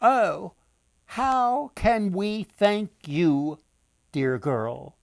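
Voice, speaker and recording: A man voicing weary, gracious village women who cast destinies in a folktale, with drawn-out, emphatic delivery. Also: sits high, very animated, gravelly, dry recording, studio quality, voice pushed up, full character voice. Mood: grateful, solemn.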